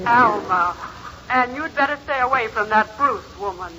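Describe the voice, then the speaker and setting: A man's voice: very high voice, lively intonation, moderate pace, pushed and bright, a little hiss or hum, exaggerated voice. A man doing a stern nagging wife. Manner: scolding, bossy. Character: stern nagging wife, middle-aged woman. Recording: dull 1930s radio broadcast recording.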